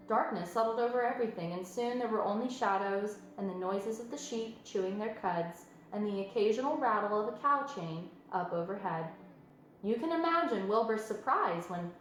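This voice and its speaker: Woman reading a kids book out loud, animated, very echoey room w faint noise. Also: medium pitch. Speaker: storyteller reading a children's book aloud. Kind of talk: expressive scripted narration.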